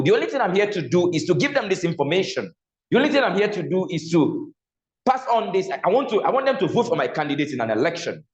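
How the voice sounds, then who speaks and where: A Nigerian-accented man leads a public speaking lesson, throwing questions to his students and supplying the answers himself. His voice sits a little high. The sound is roomy and a bit dull. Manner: asks the class questions and answers them himself. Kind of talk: classroom lecture. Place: classroom. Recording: echoey room, slightly dull sound.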